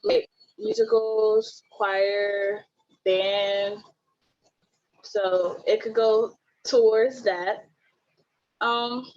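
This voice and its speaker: Slow-talking young woman, muffled call. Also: a little high. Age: young adult. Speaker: student panelist. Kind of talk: informal panel testimonial. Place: video call. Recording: muffled video call audio.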